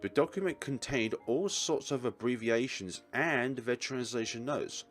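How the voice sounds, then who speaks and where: A man's voice: medium pitch, unhurried. A man reading a game's narration and dialogue aloud as a let's player, with casual asides. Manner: casual asides and short reactions. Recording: faint game music behind.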